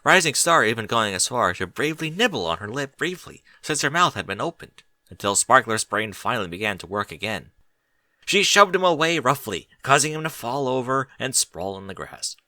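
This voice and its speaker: A man narrates a storybook tale in a bright, forward voice. His pitch moves up and down in a lively, expressive way, and the sound is close and dry. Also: fairly quick, dry recording. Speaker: audiobook narrator reading fan fiction.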